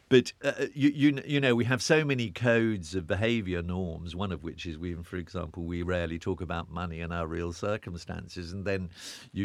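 A British man with a very deep voice talks through his ideas in a loose, reflective way, circling back and stumbling over repeated words. The recording is dry and close, with no room sound. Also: fairly bright tone, no room sound. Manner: rambling, self-interrupting, repeats words when searching. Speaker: interview guest, urbanist. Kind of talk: reflective conversational interview.

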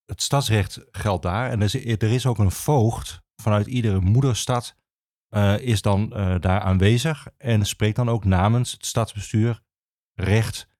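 A man with a Netherlandic Dutch accent explaining as an expert guest, conversationally and with halting, hesitant phrasing. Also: on the low side, darkish tone, dry recording, clean studio sound. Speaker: regional archivist, podcast guest.

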